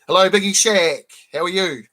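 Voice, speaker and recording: A man with a slightly high, croaky voice worn rough by a cold hosts a relaxed live stream. He chats loosely with his viewers, greets them by name and stops often to clear his throat. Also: normal speed. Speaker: live stream host showing comic books. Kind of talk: casual live stream chat.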